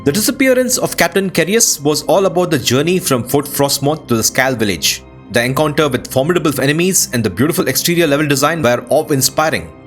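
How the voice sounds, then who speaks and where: A man hosting a game playthrough, giving casual, expressive commentary with a sense of wonder. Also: on the high side, a little fast, hardly any pauses. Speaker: host of a game playthrough channel. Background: new-age music behind the voice.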